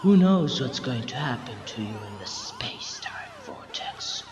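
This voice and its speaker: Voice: medium pitch, huge pitch swings, unhurried. Someone playing a gloating, echoey Time Lord villain. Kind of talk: sci-fi audio drama acting. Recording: echoey reverberant mix with music.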